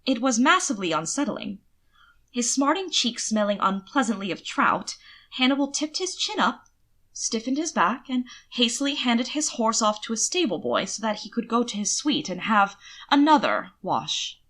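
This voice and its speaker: A woman narrates a piece of fiction in an expressive, scripted audiobook style. Her voice is bright and forward. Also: a little high, normal speed.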